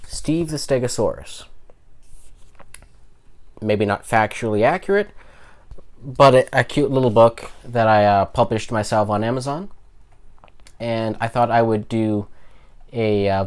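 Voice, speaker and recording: Low-pitched man, young adult. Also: darkish tone, clear, resonant tone. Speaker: channel host and children's book author. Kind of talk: casual spoken introduction to viewers.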